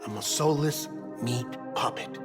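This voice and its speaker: A man playing an earnest, melancholy middle-aged scientist in a video game, speaking in a gentle, measured, confessional way. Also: mid-range voice, loud music behind the voice, close to an everyday voice. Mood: bitter confession. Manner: measured, confessional, deliberate delivery. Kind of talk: cinematic video game acting.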